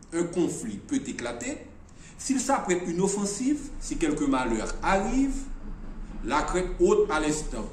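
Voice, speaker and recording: A man voicing a wise, demanding storybook mage in a declamatory, deliberate manner. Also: fairly high pitch, darkish tone. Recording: echoey room, distant mic, faint noise.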